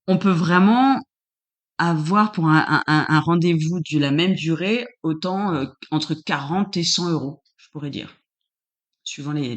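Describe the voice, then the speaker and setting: Woman, doula guest on an interview, relaxed and talkative, often restarts her sentences. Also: low-pitched. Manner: searching for words, frequent restarts. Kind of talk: casual interview answers.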